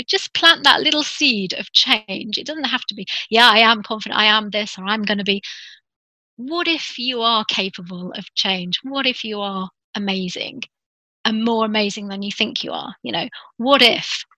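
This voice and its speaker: A woman's voice: fairly high pitch, bright, forceful tone, dry recording, rough recording. A woman talking in an earnest, encouraging way, with frequent restarts and fillers. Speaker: guest. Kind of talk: casual conversation.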